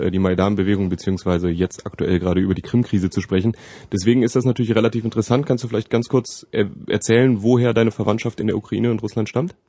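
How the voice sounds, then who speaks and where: A man's voice: lowish voice, dark tone, husky, dry recording. A man hosting a campus radio interview, asking questions in an informal way.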